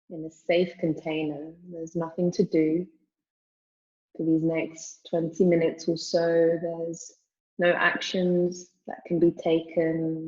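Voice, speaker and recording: A woman teaching yoga nidra, guiding a meditation in a calm, soothing, measured manner with long pauses. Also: low voice, muffled recording. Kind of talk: guided meditation, spoken slowly with long pauses.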